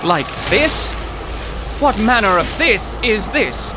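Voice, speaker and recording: A man's voice: high voice, big pitch swings, slow, background noise, exaggerated voice. A man playing a gloomy, anxious poetry professor, earnest and formal, in an audio drama. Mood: alarmed.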